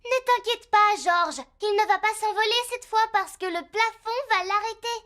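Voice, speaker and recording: A woman's voice: very high voice, moderate pace, pushed and bright, a little hiss or hum. A woman voicing a cheerful, confident little girl piglet in a cartoon, childlike and earnest. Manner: giggly, childlike, explaining earnestly. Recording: music playing behind.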